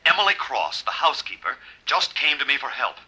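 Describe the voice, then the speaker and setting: An actor playing a businesslike male caller through a telephone filter in an old-time radio drama, brisk and factual. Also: mid-range voice, very animated, sharp tone, slightly rough, heavily acted, poor audio.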